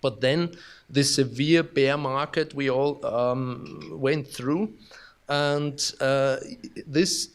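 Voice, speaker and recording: Austrian-accented man giving a conference keynote. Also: medium pitch. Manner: halting, many restarts and fillers, self-deprecating humor. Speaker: keynote speaker. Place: conference hall.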